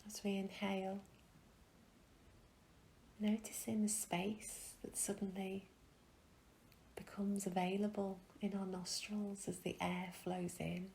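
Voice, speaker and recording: A woman guiding a meditation in a soft, breathy, soothing way, leaving long pauses between phrases. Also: mid-range voice, flat delivery, a little hiss or hum, poor audio. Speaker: meditation guide. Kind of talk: guided meditation.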